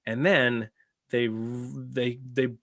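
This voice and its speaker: A man's voice: medium pitch, flat delivery, very slow, no echo, clean studio sound. A man speaking earnestly and with opinion in a casual panel discussion, as a podcast panelist.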